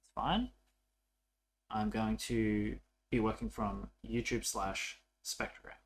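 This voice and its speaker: A man's voice: lowish voice, fairly even intonation, takes it slowly, a little hiss or hum. A man narrating a casual coding tutorial as an instructor, informal and thinking aloud as he works.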